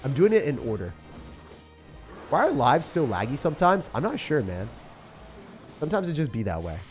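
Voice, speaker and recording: A man's voice: fairly high pitch, very animated, normal speed, a little mellow, faint music behind. A young man live-streaming a game, chatting casually with viewers, joking and reading their messages aloud, with slang like bro and man. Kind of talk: live-stream gameplay chat.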